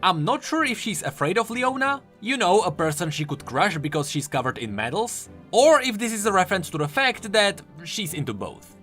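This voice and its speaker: A man's voice: high voice, sing-song, moderate pace, few pauses, a bit bright, faint music behind. A man presenting explanatory video commentary on game lore, informal and emphatic in his delivery. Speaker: YouTube game lore host.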